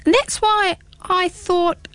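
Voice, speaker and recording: A woman's voice: extremely high pitch, fairly even intonation, extremely slow, fairly bright tone, dry recording, voice pushed up, heavily acted. A woman voicing a small, talkative hedgehog in a storybook reading, prim and rambling. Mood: flustered. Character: small chatty fussy hedgehog. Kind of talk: storybook read-aloud.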